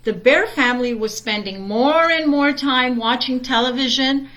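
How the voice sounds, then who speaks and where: A middle-aged woman reading a children's storybook aloud in an expressive way, with chatty asides. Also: sits high, normal speed, poor audio. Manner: chatty, expressive, easily distracted by greetings. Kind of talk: animated children's storybook read-aloud with casual bilingual asides.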